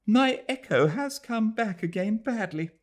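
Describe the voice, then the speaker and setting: A man's voice: high voice, animated, unhurried, voice pushed up. A man voicing an anxious, strained young Englishwoman who forms each word carefully. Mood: fragile. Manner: precise, careful syllables.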